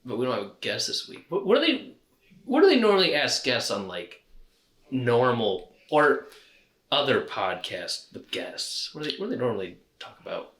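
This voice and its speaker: A man's voice: mid-range voice, big pitch swings, normal speed, a bit bright. A young man co-hosting a podcast in casual chat, talking in a hesitant, fumbling, offhand way. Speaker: podcast co-host. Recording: roomy, echoey room.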